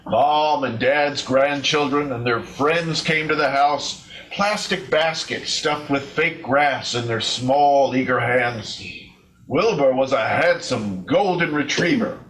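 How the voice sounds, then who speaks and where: Slow, deliberate man reading a comic story. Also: medium pitch, a bit bright, slight background noise, low-quality recording. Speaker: readers theater performer. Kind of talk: dramatic story reading, scripted narration.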